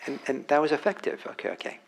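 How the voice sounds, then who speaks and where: A man giving a dharma talk as a meditation teacher, speaking reflectively with frequent pauses and restarts. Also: a little high, creaky, slight background noise, rough recording. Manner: halting, reflective, many restarts. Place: meditation hall.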